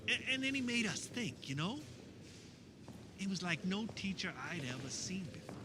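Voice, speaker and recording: Casting: male actor as an earnest fisherman disciple, high voice with wide swings, wistful radio-theater monologue, noisy recording. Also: sing-song. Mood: fond, wistful. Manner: conversational, wistful storytelling with wondering pauses. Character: earnest fisherman disciple of Jesus, fondly recounting memories. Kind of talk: dramatic first-person monologue in religious radio theater.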